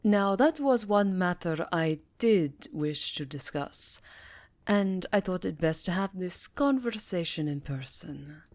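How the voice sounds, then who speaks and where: A woman's voice: on the low side, lively intonation, takes it slowly, darkish tone, no echo. A woman voicing a regal, sly vampire countess in an ASMR fantasy roleplay, formal and savoring her words with faint menace. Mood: imperious.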